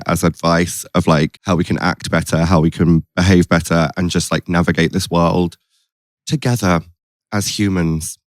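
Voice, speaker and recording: Casting: male podcast host, lowish voice, gushing casual chat, dry close recording. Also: no echo. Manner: effusive, gushing, frequent "like".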